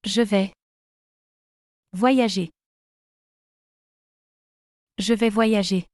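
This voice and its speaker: A woman slowly and carefully saying short drill phrases twice each for learners to repeat. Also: medium pitch, fairly even intonation, a little mellow, dry recording, clean studio sound. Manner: careful, deliberate enunciation. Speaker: pronunciation model.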